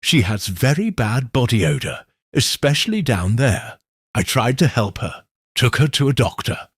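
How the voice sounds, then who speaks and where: A man voicing a reluctant young ex-boyfriend who confides in a lowered voice, with a husky tone. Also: very animated, moderate pace, dry recording, clean studio sound.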